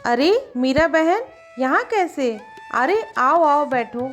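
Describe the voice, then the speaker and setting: Very high-pitched woman as a complaining farmer's wife. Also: lively intonation, takes it slowly, faint music behind. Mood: friendly, eager. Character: complaining, work-shy farmer's wife in village tale. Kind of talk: acted cartoon storytelling.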